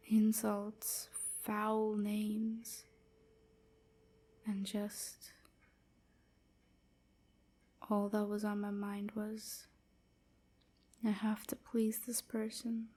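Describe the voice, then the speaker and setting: Woman acting a breathy, slow, sorrowful vampire slave. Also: mid-range voice, exaggerated voice. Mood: haunted. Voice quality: breathy, husky, often near whisper. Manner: slow, hesitant, softly confiding. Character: melancholy enslaved female vampire, gentle, wounded, grateful. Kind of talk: intimate roleplay audio drama monologue.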